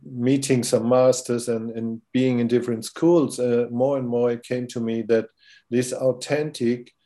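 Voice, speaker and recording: A man in his sixties with a German accent, a podcast guest in an interview, speaking haltingly with many fillers as he searches for words. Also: mid-range voice, flat delivery, dark tone. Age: 60s. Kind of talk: interview conversation.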